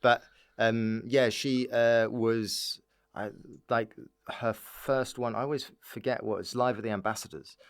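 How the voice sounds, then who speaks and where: A man's voice: medium pitch, steady tone, a little slow, smooth. A British man talking casually as a podcast guest, rambling along and prone to chuckling. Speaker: podcast guest, stand-up comedian. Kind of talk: casual chat.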